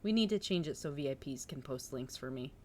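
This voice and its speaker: A woman streamer chatting casually and reading a novel aloud, with an excitable, bubbly manner. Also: extremely low pitch, brisk, a little hiss or hum. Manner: excitable, bubbly, breaking into laughter. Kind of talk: casual livestream chat and book narration.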